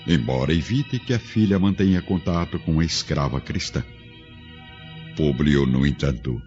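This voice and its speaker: Very deep, solemn male narrator. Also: a little mellow. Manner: solemn, formal, theatrical. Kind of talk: dramatic scripted radio drama narration. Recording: dull lo-fi audio with music behind.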